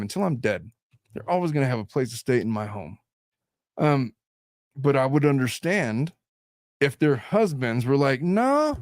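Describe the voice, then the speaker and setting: A man's voice: mid-range voice, no echo, studio quality. A middle-aged man hosting a casual livestream podcast, blunt and profane, reading chat comments aloud. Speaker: podcast host.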